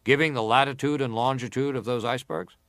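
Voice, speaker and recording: A man acting a middle-aged senator in a dramatised hearing, putting probing, formal questions in a deliberate, pressing way. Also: medium pitch, fairly even intonation, fairly bright tone, dry recording, clean studio sound, lightly acted. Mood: probing, insistent. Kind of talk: dramatised reading of hearing interrogation.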